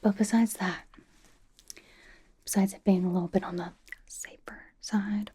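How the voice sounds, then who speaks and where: A woman voicing a young female K-pop idol who is dryly doting and comfort-obsessed, over-explaining playfully in a hushed, casual ASMR roleplay whisper. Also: mid-range voice, fairly even intonation, a little slow, slightly rough. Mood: cozy, doting.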